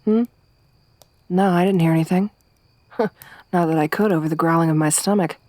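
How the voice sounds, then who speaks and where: A woman voicing a tough young woman survivor around thirty in an ASMR roleplay, soft-spoken with dry humor. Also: fairly low pitch, moderate pace, no room sound, natural voice. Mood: wry. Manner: hushed whispering when hiding.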